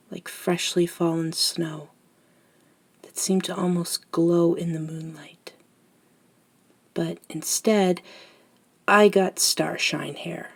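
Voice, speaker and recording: A woman playing a gentle, reminiscing elder vampire in a scripted ASMR roleplay, speaking softly and intimately with thoughtful pauses. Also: fairly low pitch, vocal fry, heavily acted. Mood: wistful.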